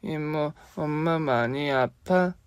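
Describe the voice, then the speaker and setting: A man's voice: fairly high pitch, takes it slowly, clear, resonant tone, no room sound, pitched above the performer's own voice. A man voicing a fussy, tidy young boy who nags his aunt, in a childish tone. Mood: worried. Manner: nagging, childish.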